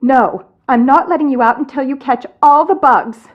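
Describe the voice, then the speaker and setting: An actress playing a high-strung, irritable, squeamish woman boss in a video game, commanding and exasperated. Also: moderate pace, dull audio. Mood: bossy, anxious.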